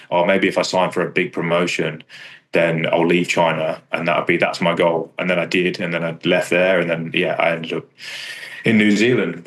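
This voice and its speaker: A man, chatting casually in a rambling, understated, self-deprecating way as a fighter and coach. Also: a bit bright. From England. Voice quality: deep voice. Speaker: kickboxer and striking coach. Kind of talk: casual interview chat about own career.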